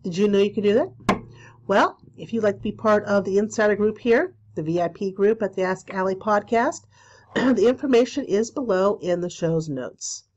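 A woman in her forties with a stuffy cold, hosting a casual spiritual podcast from a small room, chatty and full of ums and pauses. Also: medium pitch, a little mellow, muffled recording. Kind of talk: casual solo podcast talk.

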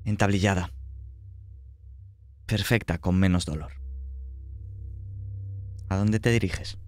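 A man's voice: low voice, steady tone, slow. A man with a Spanish accent from Spain, acting as game master and narrating a horror role-playing game in short, suspenseful phrases.

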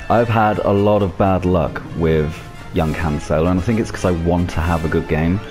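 Very deep-voiced male gamer. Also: a little mellow. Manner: informal, jokey, mildly sweary. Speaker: gaming YouTuber, host. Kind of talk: casual gameplay commentary. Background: game music.

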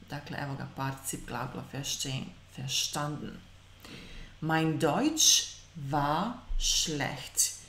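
A woman in her thirties teaching an online German lesson. She says German example sentences slowly and carefully and explains between them in quick asides, recorded on a webcam. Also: deep voice, fairly bright tone, roomy sound.

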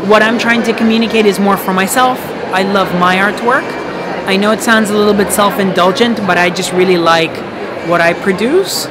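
Man, thirties, earnest casual talk, fillers and restarts, close camera mic, loud hall noise. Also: high voice. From Kazakhstan. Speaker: artist. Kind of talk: casual interview. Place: busy indoor art fair hall. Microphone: handheld camera mic close up. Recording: loud crowd noise of a fair.

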